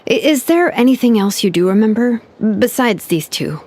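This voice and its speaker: A woman voicing a wry young engineer who muses aloud in an animated way. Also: a little high, normal speed.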